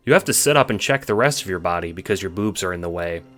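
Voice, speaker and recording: A man's voice: low voice, lively intonation, quick pace, fairly bright tone, soft background music. A man reading scripted second-person story narration, as the narrator of an audio drama.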